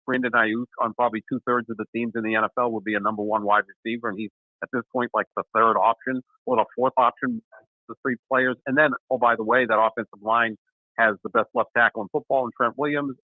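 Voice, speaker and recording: A man in his sixties co-hosting a sports talk show, giving fluent, steady football analysis. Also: mid-range voice, no echo. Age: 60s. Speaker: sports radio co-host. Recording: dry, tinny phone-like line.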